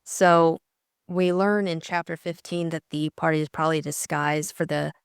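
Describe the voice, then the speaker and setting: A woman's voice: low voice, steady tone, moderate pace, gravelly, clean tone, no echo, studio quality. A young adult woman co-hosting an audiobook, reading narration and casually discussing the book.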